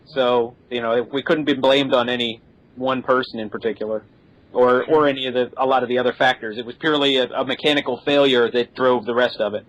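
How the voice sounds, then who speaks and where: Mid-pitched man explaining conversationally. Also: fairly even intonation, fairly quick, highs cut off. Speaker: podcast panel guest.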